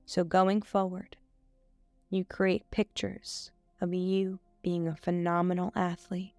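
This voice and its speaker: Female meditation guide: smooth, clear voice, unhurried and soothing, soft music behind. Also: fairly low pitch, dry recording. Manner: soothing, measured delivery with frequent pauses. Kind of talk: scripted guided meditation, hypnotic suggestion.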